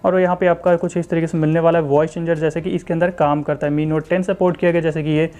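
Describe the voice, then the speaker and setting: A man's voice: a little high, level tone, fast talker, warm tone. A man with an Indian accent giving a casual tech walkthrough, explaining things to viewers directly and repetitively. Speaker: tech channel host reviewing phone software. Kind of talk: casual screen-recording walkthrough commentary.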